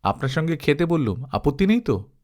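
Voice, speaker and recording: Man acting a wry, animated Pathan police inspector. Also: on the high side, brisk. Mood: genial.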